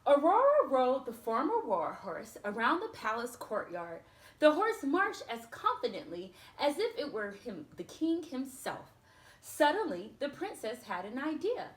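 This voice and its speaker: A woman reading a storybook aloud to children, expressive, with chatty asides spoken straight to her young listeners. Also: high voice, a little slow, reverberant.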